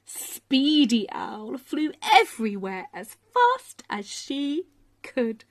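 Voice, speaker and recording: Female children's storyteller: high voice, very unhurried, expressive, dramatic pauses. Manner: animated, dramatic pauses and emphasis. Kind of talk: expressive bedtime story reading.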